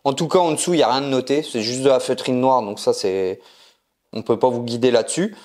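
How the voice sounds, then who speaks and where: Co-host, French man, easygoing banter, quick to joke. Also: medium pitch, darkish tone. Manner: joking, laughs often. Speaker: co-host of a collector channel. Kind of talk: casual unboxing chat.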